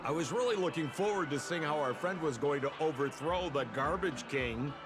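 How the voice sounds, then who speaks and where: An actor playing a flamboyant, teasing trickster in a video game, theatrical and mocking, with a smugly cryptic, insinuating manner. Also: male voice, on the high side, expressive, moderate pace, close to an everyday voice. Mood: mock disappointment. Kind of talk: video game character dialogue. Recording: background music under the voice.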